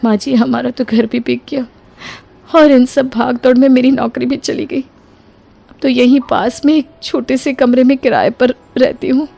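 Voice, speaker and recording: Woman acting a plaintive, high, creaky young mother. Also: steady tone, unhurried, long pauses, warm tone, heavily acted. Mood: troubled. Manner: polite, plaintive, earnest. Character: distressed, humble young mother fallen on hard times. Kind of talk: acted Hindi moral story dialogue.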